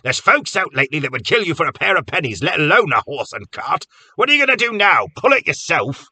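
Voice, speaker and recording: A man voicing a quarrelsome, sharp-tongued old village man who scolds the people around him. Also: lowish voice, animated, quick pace, vocal fry, slightly rough, no room sound, heavily acted. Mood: angry.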